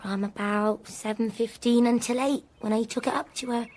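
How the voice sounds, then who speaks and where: A woman acting as a junior maid in a radio drama. Her voice sits fairly high, and she answers simply and nervously, deferential and keen to please. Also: steady tone, moderate pace, a little mellow, lightly acted. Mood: anxious, deferential. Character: timid, eager-to-please junior maidservant.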